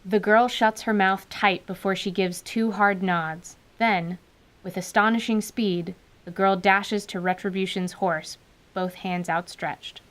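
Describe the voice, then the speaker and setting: A woman narrating a fantasy radio drama with lively storytelling. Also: medium pitch, a little slow.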